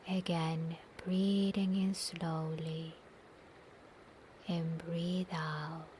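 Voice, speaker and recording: A woman leading a guided meditation in a soft, breathy, near-whispered and soothing voice, with long pauses between short instructions. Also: low-pitched, little pitch movement, slight background noise. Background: soft nature ambience.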